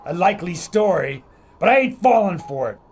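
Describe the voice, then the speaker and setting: An actor playing a brash, suspicious American fighter pilot in an old-time radio adventure style. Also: male voice, sits high, very animated, brisk, breathy edge, full character voice. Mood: skeptical, sardonic.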